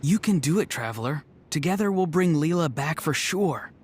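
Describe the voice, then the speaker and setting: A man voicing an earnest, polite young tribesman in an animated video game. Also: fairly high pitch, normal speed, a little hiss or hum, natural voice. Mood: encouraging. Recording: music playing behind the voice.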